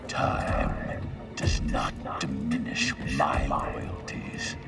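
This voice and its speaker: Cavernously deep ancient alien warrior. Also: male voice, animated, gravelly, exaggerated voice. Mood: grave, bitter. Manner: slow, solemn, menacing formal delivery. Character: towering, centuries-old alien warrior villain, proud, bitter, honour-bound. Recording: distant game audio under loud music.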